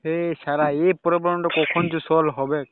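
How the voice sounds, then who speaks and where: A man's voice: fairly high pitch, level tone, moderate pace, background noise. A young man talking casually on a private phone call, often checking with short questioning tags. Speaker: caller talking to a romantic partner. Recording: dull, lo-fi phone call recording.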